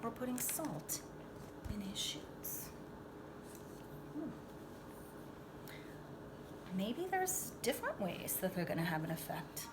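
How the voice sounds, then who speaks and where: A woman's voice: medium pitch, sing-song, slow pace, long pauses, heavy background noise. A woman reading a storybook aloud to children expressively, with chatty, teacherly asides, questions and emphatic phrasing. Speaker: storybook read-aloud reader.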